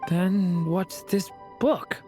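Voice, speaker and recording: Soft-mannered game boy, earnest and unsure, lowish voice, lilting, music behind. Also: extremely slow. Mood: puzzled, earnest. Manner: polite, hesitant, earnest. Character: gentle, unsure young boy with a magical body. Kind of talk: English video game voice acting.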